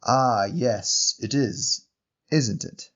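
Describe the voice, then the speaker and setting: A man voicing a kindly, amused, very old male fruit seller with a gentle, teasing, unhurried manner. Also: mid-range voice. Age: elderly. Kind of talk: audio short story character voice.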